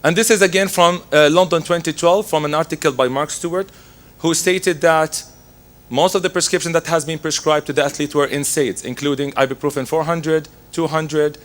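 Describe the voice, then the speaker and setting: Hoarse man giving a lecture. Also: fairly high pitch, steady tone, moderate pace. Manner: methodical, reading through slides. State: hoarse from laryngitis. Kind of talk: medical lecture.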